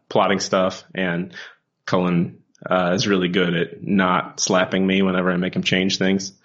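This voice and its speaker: A man's voice: low voice, fairly bright tone. A man chatting casually as a co-host, joking and rambling, on a dull lo-fi recording. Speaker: co-host, comic book writer. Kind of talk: casual chat between co-hosts.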